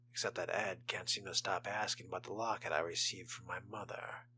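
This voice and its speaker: A man's voice: low-pitched, bright, forceful tone, slight background noise. A man narrating a video game playthrough in character, with theatrical delivery and formal wording. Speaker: role-playing game streamer.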